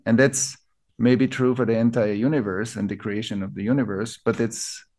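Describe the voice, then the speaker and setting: A man from Austria with an Austrian accent, a native German speaker, explaining ideas reflectively as an interview guest, building his thoughts in short, halting phrases. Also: on the low side, steady tone, a little mellow. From Vienna, Austria. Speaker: interview guest, teacher of collective trauma healing.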